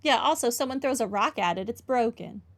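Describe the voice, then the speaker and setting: A woman's voice: a little high, expressive, brisk. A woman giving casual playthrough commentary, explaining her opinions conversationally as she reacts. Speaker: game streamer. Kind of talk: casual playthrough commentary and reading dialogue choices.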